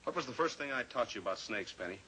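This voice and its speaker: An actor playing a steadfast, brave cowboy pilot in an old-time radio drama, firm and terse. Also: male voice, medium pitch, lively intonation, quick pace, pushed and bright, low-quality recording. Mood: calm resolve. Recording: old radio recording with faint hiss.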